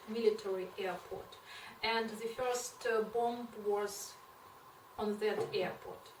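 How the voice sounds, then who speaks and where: Halting Ukrainian woman, echoey and distant. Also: on the high side. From eastern Ukraine. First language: Ukrainian or Russian. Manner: hesitant, halting, many fillers and restarts. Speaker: interview guest. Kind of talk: personal testimony in a second language. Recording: echoey room, mic far off, noisy.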